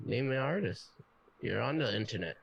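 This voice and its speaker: A man's voice: mid-range voice, creaky, breathy edge, poor audio. A man in a casual interview, talking in a laid-back way with loose street slang and throwing questions back at the interviewer. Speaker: interview guest in a played clip.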